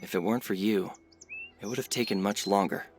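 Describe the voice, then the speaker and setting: Man playing a low-voiced earnest fantasy prince. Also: fairly quick, a little hiss or hum, close to an everyday voice. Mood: grateful. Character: noble young fantasy prince, earnest and caring. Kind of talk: fantasy video game voice acting.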